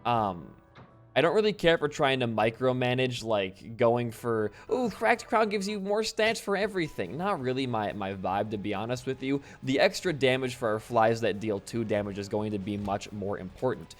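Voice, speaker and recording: A laid-back young man from Wisconsin, a bit hoarse after losing his voice, rambling casually while commenting on his gameplay. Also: medium pitch, moderate pace. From Wisconsin, USA. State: slightly hoarse from voice loss. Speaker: gaming channel host. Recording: faint game music behind.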